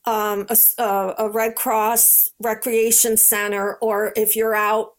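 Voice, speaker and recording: Older American woman interviewee, unhurried storyteller, slightly high voice, very measured pace. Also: level tone. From Rochester, New York, United States. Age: 70s. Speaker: interviewee, Red Cross veteran. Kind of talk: personal recollection in an interview.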